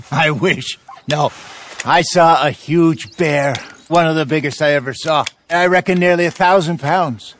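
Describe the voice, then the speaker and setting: High-voiced, folksy American outlaw. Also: male voice, unhurried, a bit bright, slight background noise. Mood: easygoing. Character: genial, seasoned Old West outlaw hunter.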